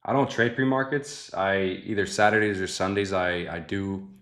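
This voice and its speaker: A young man hosting a live trading stream, chatting casually with viewers and thinking out loud, often dropping to a quiet mutter. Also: sits low, steady tone, moderate pace. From Mexican family. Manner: laid-back, thinks aloud, often mutters quietly to himself. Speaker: livestream host trading futures.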